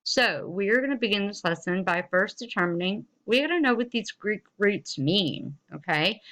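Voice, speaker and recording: A woman's voice: mid-range voice, a bit bright. A woman giving an instructional lesson as a teacher, explaining things informally and encouragingly to students.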